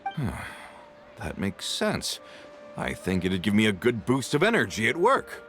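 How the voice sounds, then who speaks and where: Low-voiced, expressive working father. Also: measured pace, faint music behind, exaggerated voice. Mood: pondering. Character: weary working man, father yearning for freedom. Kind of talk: video game voice acting.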